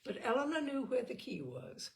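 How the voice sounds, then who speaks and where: A woman reading literary fiction aloud as an audiobook narrator, in an expressive, measured and articulate way. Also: high voice, gravelly.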